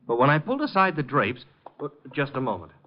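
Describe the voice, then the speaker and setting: Flustered, officious gallery director, animated. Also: male voice, mid-range voice. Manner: fussy, halting, self-important. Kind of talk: 1950s radio drama acting. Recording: noisy, muffled 1950 radio broadcast, clicks.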